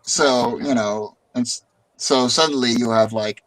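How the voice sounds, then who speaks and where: A man's voice: mid-range voice, unhurried, a bit bright, rough recording. A man chatting casually as a streamer and comic artist, who often repeats words as he gets a thought going. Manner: rambling, repeats words when starting a thought. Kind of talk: casual chat.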